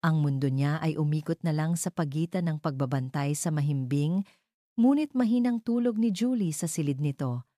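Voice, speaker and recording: A woman's voice: deep voice, moderate pace, dark tone, no room sound, clean studio sound. A woman narrator reading a scripted romantic drama in a storytelling style.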